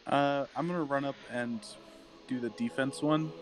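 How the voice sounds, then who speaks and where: A man's voice: mid-range voice, fairly even intonation, normal speed. A man playing a tabletop roleplaying game, chatting casually and a little hesitantly about his moves. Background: background music.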